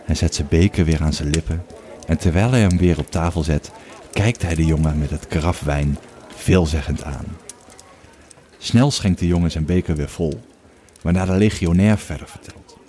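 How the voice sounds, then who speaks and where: A man narrating a scripted story in a measured storytelling manner. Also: low voice, steady tone, mellow, a little hiss or hum.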